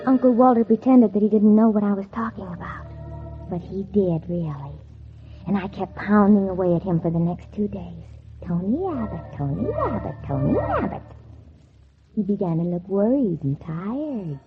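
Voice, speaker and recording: A woman's voice: medium pitch, very animated, moderate pace, soft background music, natural voice. An actress playing a spiteful, scheming teenage girl in a 1940s radio drama, by turns falsely innocent and coldly bitter. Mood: sly narrating. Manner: feigned sweet innocence turning coldly bitter. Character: scheming, spiteful teenage girl narrating her own revenge. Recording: muffled, tinny old 1940s radio recording.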